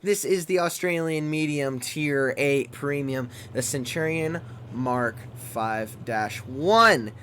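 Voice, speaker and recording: Fast-talking man reviewing a game tank. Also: on the high side, a bit bright, a little hiss or hum. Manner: fast-talking, loose and chatty. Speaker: gaming channel host.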